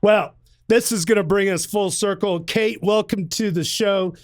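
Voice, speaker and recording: High-voiced chatty male host. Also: steady tone, measured pace, no room sound, studio quality. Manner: chatty, upbeat, laughs easily. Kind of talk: casual interview chat.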